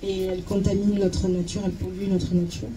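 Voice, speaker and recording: A woman working as a consecutive interpreter at a talk, halting and searching for words as she translates. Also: mid-range voice, flat delivery, noisy background.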